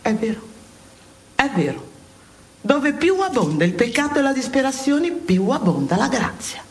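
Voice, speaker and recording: An actress playing an impassioned heavenly examiner, lecturing souls with emphatic, theatrical delivery and dramatic pauses in a radio play. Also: female voice, high voice, very animated. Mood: grave, narrating.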